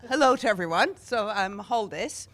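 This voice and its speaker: A woman's voice: medium pitch, lively intonation, moderate pace, fairly bright tone. A Norwegian woman in her sixties, a veteran teacher and union official, speaking reflectively on a panel. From Norway. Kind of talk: panel discussion.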